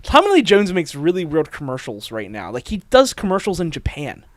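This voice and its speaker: Lively high-voiced man hosting a movie chat. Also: fairly quick, no room sound. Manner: animated, swearing, laughing often. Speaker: podcast host.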